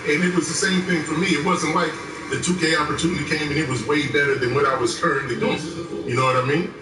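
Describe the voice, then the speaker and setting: A man co-hosting a casual talk stream, telling a story with lively quoted dialogue. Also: on the high side, fast talker, background noise. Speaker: co-host. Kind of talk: casual podcast chat. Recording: echoey, distant mic with music behind.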